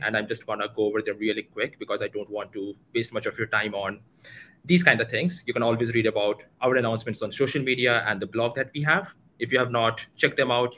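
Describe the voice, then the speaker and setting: A man's voice: mid-range voice, bright, forceful tone, a little hiss or hum. A man in his twenties with an Indian accent, casually hosting an online community meetup and introducing speakers in an informal way. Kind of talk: casual livestream event introduction. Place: home room, indoors, video call. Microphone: headset.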